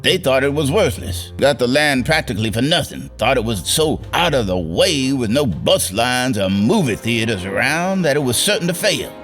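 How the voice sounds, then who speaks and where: A cynical, indignant man in a radio drama. His medium-pitched voice rises and falls dramatically with scornful intensity. Music is clearly audible underneath. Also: big pitch swings, moderate pace, few pauses, fairly bright tone. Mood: bitter disbelief. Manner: impassioned, scornful. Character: bitter, indignant male friend with cynical intensity.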